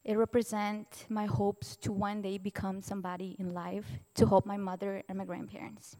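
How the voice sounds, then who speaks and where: A young woman from Mexico, a Spanish native speaker, giving an earnest, heartfelt personal testimony as a student speaker, halting now and then to restart. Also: medium pitch, flat delivery, dark tone. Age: young adult. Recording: distant voice in a reverberant hall.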